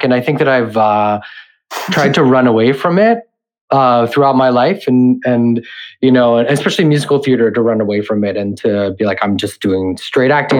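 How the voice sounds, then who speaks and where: A man speaking as an interview guest, reflecting casually and earnestly, often halting to search for his words. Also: mid-range voice, low-quality recording. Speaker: podcast guest, theater and film creative.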